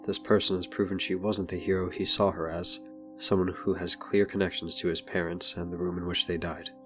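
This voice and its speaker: A man's voice: low-pitched, flat delivery, a little fast, music in the background, natural voice. A man narrating a scripted superhero audio drama. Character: narrator of a superhero adventure story.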